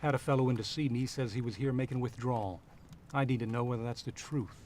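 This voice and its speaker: An actor playing a cool, curt private investigator who talks and narrates in a businesslike 1940s radio noir style. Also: male voice, mid-range voice, fast talker, a little mellow, slight background noise, close to an everyday voice. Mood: probing, unruffled. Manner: clipped, businesslike, unruffled.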